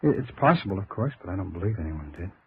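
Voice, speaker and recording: A man's voice: low-pitched, very animated, racing. A male actor playing a dejected, polite nephew in a 1950s radio drama, earnest and subdued. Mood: uneasy, earnest. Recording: tinny, noisy vintage radio recording.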